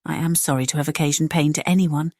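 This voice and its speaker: A proud young gentlewoman from a classic romance audiobook, played by a woman. Her voice is on the low side and recorded dry, with formal, measured phrasing and a cool, indignant edge. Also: fairly even intonation, no echo. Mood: cold, controlled. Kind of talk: dramatized audiobook acting.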